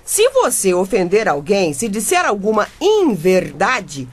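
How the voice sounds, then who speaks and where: Animated, exasperated Brazilian mother. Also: medium pitch, a little slow, slightly rough, heavily acted. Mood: reproachful. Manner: expressive, reproachful, emphatic. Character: earnest, exasperated mother and newspaper reporter, principled wife. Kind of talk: comic radio drama acting.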